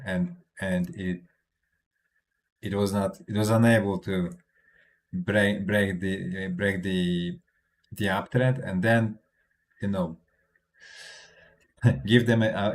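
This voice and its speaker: A man speaking English as a second language, giving casual livestream commentary on market charts, halting with many restarts and a habitual "right?" and soft chuckles between points. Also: fairly low pitch. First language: not English. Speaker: crypto trading streamer.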